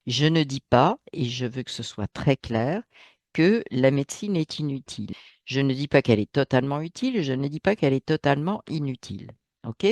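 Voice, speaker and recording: Man talking slowly with many pauses. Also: mid-range voice, lively intonation, a little mellow, dry recording. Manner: hesitant, many fillers and restarts, emphatic asides. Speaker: channel host. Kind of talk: solo spoken monologue.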